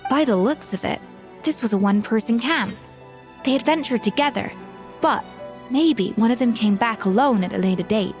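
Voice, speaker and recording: Woman acting a higher-pitched, keen young chef. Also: normal speed, natural voice. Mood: pensive. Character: keen, self-assured young female chef. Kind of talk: video game voice acting. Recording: slightly dull audio with music behind. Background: game music.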